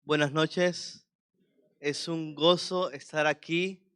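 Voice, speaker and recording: A man's voice: fairly high pitch, steady tone, slow pace, fairly bright tone, smooth. A man from Venezuela with a Venezuelan accent, preaching as a guest and reading scripture expressively in a church. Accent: Venezuelan Spanish. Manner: earnest, jovial, reads scripture expressively. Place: church hall.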